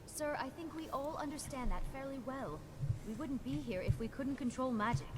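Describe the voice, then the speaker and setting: A woman plays an impatient young apprentice mage in a fantasy game, confident and challenging. Her high voice rings in a long hall reverb over background noise. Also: moderate pace, close to an everyday voice. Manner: challenging, assertive. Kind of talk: fantasy video game acting.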